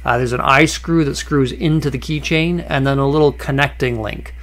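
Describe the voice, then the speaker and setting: A man at an ordinary middle pitch talks through his workshop steps in an easygoing voice-over. He pauses often on "uh" and restarts a phrase here and there. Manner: relaxed and conversational, with many "uh" fillers and restarts. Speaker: woodworking channel host. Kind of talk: voice-over narration of a workshop project.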